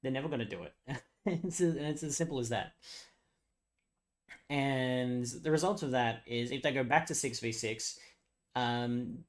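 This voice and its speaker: A young man with a hoarse, worn voice, chatting loosely to his live audience. He rambles between thoughts, laughs a lot and drops swear words without a second thought. His pitch sits squarely in the middle for a man. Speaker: live streamer. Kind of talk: casual live-stream chat with viewers.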